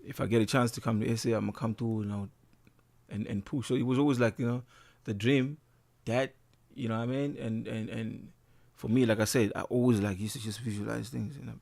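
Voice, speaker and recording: A man's voice: mid-range voice, steady tone, brisk, creaky, a little mellow. A man talking casually in an interview as a musician, laid-back, full of slang and fillers. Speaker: guest musician.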